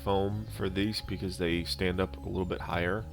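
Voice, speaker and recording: Deep-voiced man, halting DIY narration. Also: flat delivery. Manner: halting, unscripted explaining. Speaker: DIY prop-making video host. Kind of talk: casual tutorial voice-over narration. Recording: instrumental music behind the voice. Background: music.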